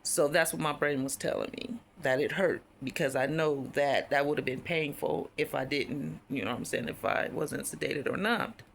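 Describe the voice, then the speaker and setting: A woman with a deep, raspy voice, hoarse while healing, telling a personal story in a casual, rambling way with many fillers. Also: normal speed, few pauses, a little hiss or hum. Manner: rambling, frequent fillers and restarts. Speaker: vlogger.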